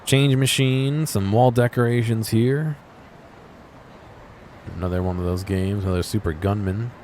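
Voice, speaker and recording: Male gaming commentator, very low pitch, low-key and musing, unscripted chat over faint background noise. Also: fairly even intonation, unhurried, a little mellow. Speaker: gaming video host. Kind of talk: casual off-the-cuff game exploration commentary.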